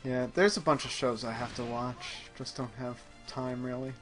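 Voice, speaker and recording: A man's voice: mid-range voice, fairly even intonation, normal speed, soft background music. A man casually chatting and commenting as he plays a video game, with dry, joking sarcasm. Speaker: host playing a video game on a gaming show. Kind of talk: casual chat and gameplay commentary.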